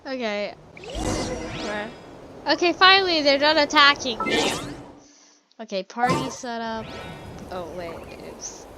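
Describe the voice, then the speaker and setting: A woman's voice: high voice, lively intonation, unhurried, pauses often. A woman doing casual, slangy video game commentary, often sounding exasperated as she exclaims at the game. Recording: loud game music behind voice. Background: loud video game music.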